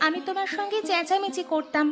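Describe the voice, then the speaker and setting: Very high-pitched worried schoolgirl. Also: steady tone, fast talker, a bit bright, soft background music. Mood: concerned. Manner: plaintive, concerned. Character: worried, caring schoolgirl daughter of poor shopkeeper. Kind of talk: Bengali village cartoon dialogue.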